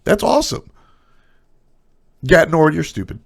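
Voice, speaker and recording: A man's voice: a little high, sing-song, a little slow, vocal fry. A man with a stuffy, nasal voice streaming casual, blunt opinions on esports, trailing off into asides.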